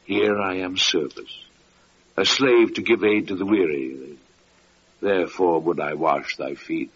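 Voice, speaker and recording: An actor playing a gentle, pious old gatekeeper with solemn, meek archaic speech in an old-time radio drama. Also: male voice, low voice, fairly even intonation, measured pace, slightly rough, full character voice. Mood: solemn devotion. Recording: muffled, noisy vintage radio recording.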